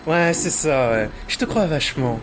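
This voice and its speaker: A man's voice: a little high, lively intonation, normal speed, over music, close to an everyday voice. A young man playing a cheeky, boastful teenage thief in an audio drama, slangy and dramatic.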